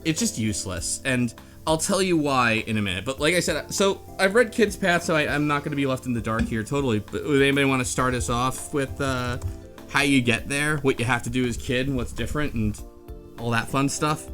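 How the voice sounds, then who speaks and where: A man's voice: mid-range voice, a little fast, fairly bright tone. A man hosting a casual book club chat, talking in a rambling, blunt and slangy conversational way. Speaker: podcast host. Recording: music behind the voice. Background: background music.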